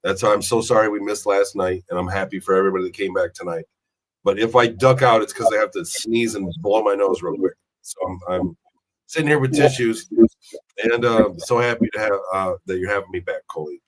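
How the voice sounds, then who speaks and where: Man, hoarse from a cold, fairly low voice, earnest, rambling, lots of fillers. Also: a little fast, poor audio. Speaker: guest. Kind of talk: casual interview talk.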